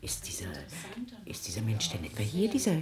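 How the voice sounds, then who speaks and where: Deep-voiced, flustered society duchess. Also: female voice, huge pitch swings, brisk, full character voice. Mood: flustered, alarmed. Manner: stammering, affected. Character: flustered, gushing aristocratic duchess, scandalized and naive. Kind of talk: German radio drama acting. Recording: party chatter behind the voice.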